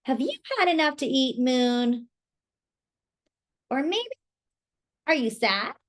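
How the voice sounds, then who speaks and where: A gentle, anxious storybook moon keeper, acted by a woman. The voice sits high and swings expressively, with careful, earnest worry. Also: expressive, slow. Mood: worried, tender. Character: gentle, worried moon keeper named Emil. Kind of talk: children's picture book read-aloud.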